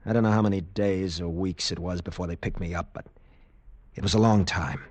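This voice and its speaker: An actor playing a shaken, bitter young military lieutenant who survived as a castaway, in old radio drama style. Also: male voice, low-pitched, fairly even intonation, brisk, a little mellow, dry recording. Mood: haunted, intense. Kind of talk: 1950s radio drama acting. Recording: old radio broadcast, music underneath.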